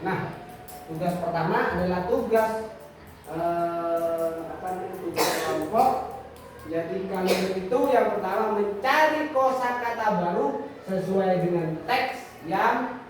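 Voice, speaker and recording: A man teaches young pupils in a very reverberant classroom, picked up from far off with clear background noise. He is interactive and keeps checking in with questions. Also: high voice, unhurried. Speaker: primary school teacher. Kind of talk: classroom teaching and story read-aloud. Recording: distant, echoey, noisy classroom recording.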